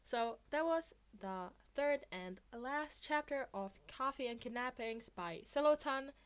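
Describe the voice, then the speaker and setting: A woman's voice: sits high, lively intonation, a little slow, clear, resonant tone. A woman narrating fan fiction as an amateur podfic reader.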